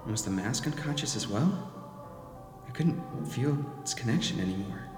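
A man's voice: mid-range voice, lively intonation, normal speed, close to an everyday voice. A man acting as a troubled narrator possessed by a cursed mask in a dramatic, confessional horror audio drama. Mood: haunted. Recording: echoey hall reverb, music underneath. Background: music.

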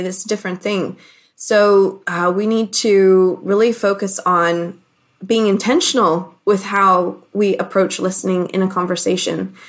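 Woman coach explaining in an interview. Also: mid-range voice. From born in Hong Kong. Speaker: communication coach guest. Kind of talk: casual interview.

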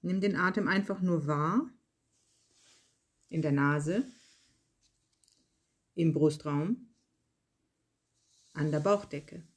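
A yoga nidra guide reading a scripted relaxation in calm, measured short phrases with pauses. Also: mid-range voice, husky. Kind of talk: slow guided relaxation meditation, read from a script.

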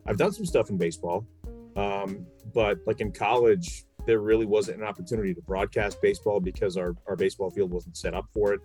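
A man's voice: lowish voice, a little fast, a little mellow, faint music behind. A middle-aged American man, a sports broadcaster, chatting casually and explaining things at length as a podcast guest.

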